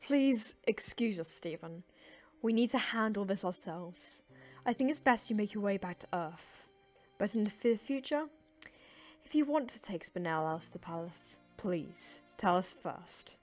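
A woman plays a stern female alien ruler in a cartoon. She is commanding and formal, with firm, clipped words at an unhurried pace. Music plays underneath. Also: medium pitch, expressive, darkish tone, close to an everyday voice. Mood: restrained, formal. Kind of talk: animated comic dub acting.

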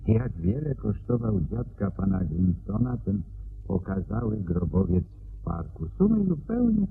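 An actor playing a sickly, nervous estate bookkeeper in a radio play, speaking politely and hesitantly. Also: male voice, lowish voice, sing-song, measured pace, gravelly, heavily acted. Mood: obliging, nervous. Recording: tinny band-limited radio recording with hum.

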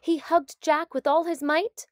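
A woman reads a children's story aloud with expressive delivery. Her voice sits slightly high and moves at a measured pace, with a dry, close sound. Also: dry recording. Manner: deliberate, expressive. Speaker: storybook narrator.

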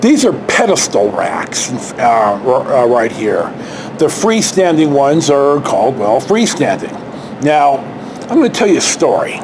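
A middle-aged American man casually telling a story to camera in a halting, rambling way, recorded outdoors on a handheld device. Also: mid-range voice, huge pitch swings, noisy background. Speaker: storyteller, vlogger. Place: outdoors beside a stucco house wall. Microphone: handheld camera or phone at arm's length.

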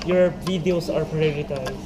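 A man's voice: on the high side, fairly even intonation, normal speed, noisy background. A man who vlogs, chatting casually at a café and chiming in with friendly questions. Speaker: vlogger. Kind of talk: casual interview chat. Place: busy indoor café.

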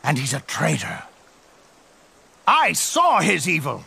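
A man's voice: fairly high pitch, huge pitch swings, slow pace, creaky, bright, forceful tone, slightly rough, heavily acted. A man voicing an old retired male elephant uncle, fretful, tired and loyal. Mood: worried. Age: older.

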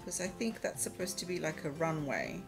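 A woman talks casually through a mobile game, reading out prompts and musing to herself. Her voice sits a little low, and her English carries a wandering, mostly Australian accent over an Eastern European first language. Music plays clearly beneath her. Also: sing-song, normal speed. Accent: mixed Australian English. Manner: chatty, reads game prompts aloud, muses to herself. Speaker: let's play host. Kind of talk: casual gameplay commentary.

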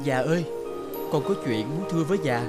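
A man's voice: a little high, lively intonation, a little fast, natural voice. A man voicing a determined young village man in a cartoon, earnest and respectful. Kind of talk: cartoon voice acting. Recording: voice under very loud music.

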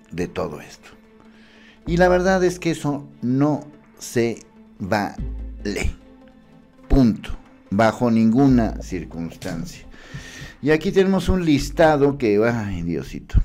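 Mexican guy in his 50s ranting on politics, warm low-key tone, faint music behind. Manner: emphatic, indignant, animated hand gestures. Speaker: political commentator. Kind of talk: opinion commentary to camera. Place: small studio with city backdrop. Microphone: studio mic in frame.

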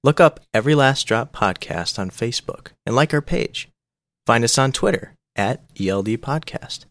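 A man reads a scripted podcast promo, playfully speaking straight to the listener. His pitch swings in a lively way around a middle register. The recording is dry and close. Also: normal speed, no echo. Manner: playful, direct address.

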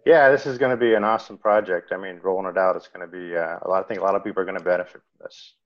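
A man's voice: deep voice, fairly even intonation, very fast. A man joining a video call as a guest developer, speaking in a hesitant way with many fillers over dull call audio. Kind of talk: casual video call chat.

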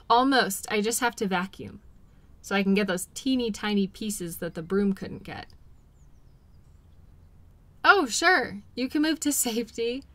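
A woman playing a caring, patient girlfriend in an intimate role-play audio, talking gently and soothingly to her partner. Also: mid-range voice, no echo. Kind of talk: intimate one-sided role-play acting.

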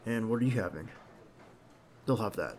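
Creaky-voiced, hesitant bodyguard on a date acted by a man. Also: lowish voice, expressive, normal speed, a little hiss or hum. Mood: casual, attentive. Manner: soft, hesitant, gently teasing. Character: nervous, devoted young male bodyguard on a date. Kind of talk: ASMR roleplay acting, intimate one-sided dialogue.

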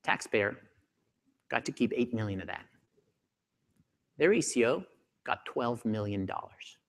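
Animated man giving a keynote on stage. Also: medium pitch, measured pace, long reverb tail. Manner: animated, acts out doctors' voices, gestures a lot. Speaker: keynote speaker. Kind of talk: conference keynote talk. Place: large conference hall stage. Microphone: lapel mic.

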